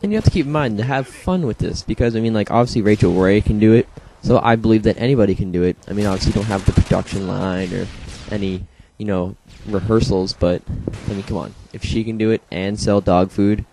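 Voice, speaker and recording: A young man narrates his own match in a rambling, offhand way, his voice a little hoarse and congested. His tone is low and mellow. Faint game gunfire sits under the talk. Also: quick pace, hardly any pauses, slight background noise, low-quality recording. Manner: rambling, self-deprecating, lots of fillers. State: slightly hoarse from throat congestion. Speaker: gameplay commentator. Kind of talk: casual gameplay commentary.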